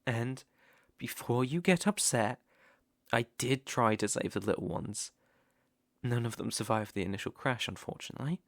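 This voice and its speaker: A British man playing an obsessive, softly possessive stalker in an intimate ASMR roleplay, hushed and coaxing. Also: mid-range voice, moderate pace, dry recording, clean studio sound, close to an everyday voice. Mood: soothing, possessive.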